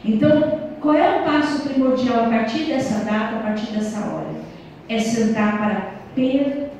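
A woman's voice: a little high, moderate pace, darkish tone, slight background noise. A woman in her thirties giving an earnest church talk to married couples, speaking into a handheld mic in a small church hall. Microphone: handheld mic, far camera. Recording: echoey hall from far off.

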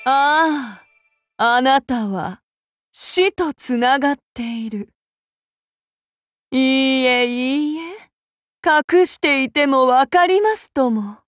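An actress voicing a cruel, demon-blooded female warrior in a game, with soft, polite speech that turns threatening. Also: sits high, takes it slowly, pauses often, no echo, studio quality. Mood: sweetly menacing. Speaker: game character voice. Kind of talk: anime game voice acting.

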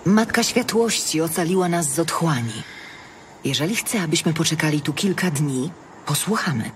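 Tough sardonic ranger. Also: female voice, low-pitched, lively intonation, normal speed, slight background noise. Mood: serious. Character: tough, sardonic female ranger, hardened warrior. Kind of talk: dramatised audiobook acting.

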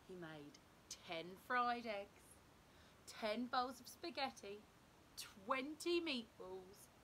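A middle-aged woman reading a children's story aloud like a teacher, with deliberate, emphatic storytelling for young children. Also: medium pitch, sharp tone, a little hiss or hum, rough recording. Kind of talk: expressive picture-book read-aloud.

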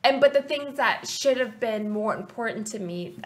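A woman's voice: on the high side, lively intonation, normal speed. Earnest young Canadian woman talking. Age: 20s. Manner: earnest, reflective, rambling with fillers. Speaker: guest. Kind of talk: casual interview chat. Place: small TV studio set. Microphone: desk mic on stand.

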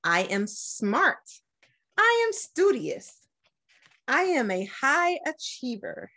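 A middle-aged American woman from Detroit, a school principal, doing an expressive storytime read-aloud with deliberate phrasing. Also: high voice. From Detroit, United States. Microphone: webcam at about arm's length.

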